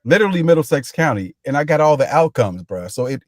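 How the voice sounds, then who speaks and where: A man's voice: on the high side, sing-song, fast, dry recording. A man who runs youth mentoring programs, pushing his point in a podcast debate with slang and stammering restarts. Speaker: mentoring program assistant director. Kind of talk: heated debate.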